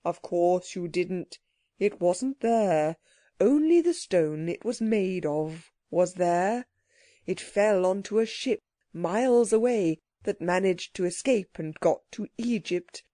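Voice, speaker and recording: A woman's voice: lowish voice, animated, slow pace, dark tone, dry recording, studio quality. A woman voicing a fierce, sulky, bossy little ancient creature in a storybook reading, with a grumpy, imperious manner. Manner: grumpy, imperious, clipped exclamations.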